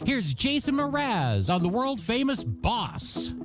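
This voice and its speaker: An American man working as a radio DJ, with a high voice that swings widely in pitch. He delivers upbeat oldies patter with a joking, self-mocking showman's flair. Music plays clearly behind him. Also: huge pitch swings, takes it slowly. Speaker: radio DJ and host. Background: pop and rock music.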